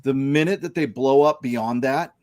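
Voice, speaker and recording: A man's voice: medium pitch, normal speed, darkish tone, no echo, studio quality. A man hosting a casual podcast chat, rambling with many fillers and restarts and chuckling now and then. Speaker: podcast host.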